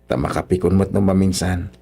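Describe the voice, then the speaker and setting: A man's voice: deep voice, steady tone, fairly quick, creaky, warm tone, husky, faint music behind, full character voice. A man playing a humble, earnest young son-in-law of poor means in an Ilocano radio drama, respectful and hesitant, with an Ilocano accent. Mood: hurt, sincere.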